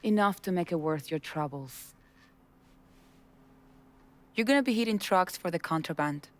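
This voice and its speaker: A woman's voice: fairly low pitch, animated, normal speed, close to an everyday voice. A woman, voicing a tough smuggling boss in a video game, blunt and businesslike. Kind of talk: video game cutscene acting.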